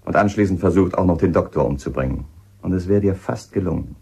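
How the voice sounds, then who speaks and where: An actor playing a stern, probing police inspector in a radio crime drama, questioning insistently and accusingly. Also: male voice, deep voice, fast, gravelly, dark tone, husky, exaggerated voice.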